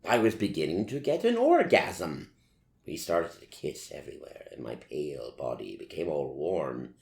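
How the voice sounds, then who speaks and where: A man reading a story aloud as theatrical narration in the style of an old horror-film star, savouring each phrase deliberately. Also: rumbling low, big pitch swings, creaky, darkish tone. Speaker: story reader. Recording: roomy, echoing voice recording.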